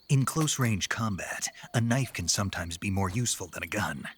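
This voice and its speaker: An actor playing a gruff, terse American secret agent in a video game, speaking in a gravelly, clipped, businesslike way. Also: male voice, on the low side, lively intonation, a little slow, fairly bright tone, exaggerated voice. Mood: confident, instructive. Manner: terse, clipped questions, intense. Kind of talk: video game cutscene acting.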